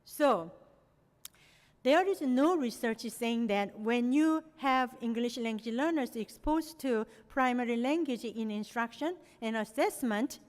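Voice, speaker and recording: A woman's voice: a little high, darkish tone. A middle-aged woman from Korea, a native Korean speaker, giving an academic presentation with non-native phrasing and frequent "you know" fillers. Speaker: lecturer. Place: lecture hall. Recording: long hall reverb.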